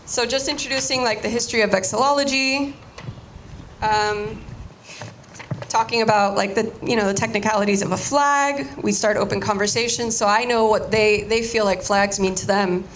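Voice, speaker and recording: A woman's voice: fairly high pitch, normal speed, a little hiss or hum, poor audio. A Cuban-American woman whose first language was Spanish, giving a casual artist talk and often restarting her sentences. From United States, Cuban-American family.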